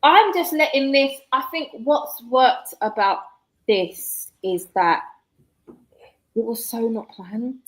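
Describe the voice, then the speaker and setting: A woman, a guest author talking casually in an interview, chatty and quick to laugh. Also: a little high, fairly bright tone. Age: 40s.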